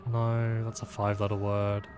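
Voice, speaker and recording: Low-voiced man musing through a puzzle. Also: monotone, moderate pace, music in the background. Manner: thinking aloud, self-deprecating, muttering to himself. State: sleepy. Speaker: gameplay streamer. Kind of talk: casual let's-play commentary.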